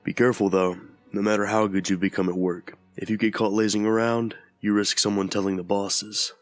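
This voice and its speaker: A man voicing a cautious, protective young male hotel coworker in an audio drama, speaking calmly. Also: fairly low pitch, fairly quick, no echo, soft background music, close to an everyday voice. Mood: cautioning.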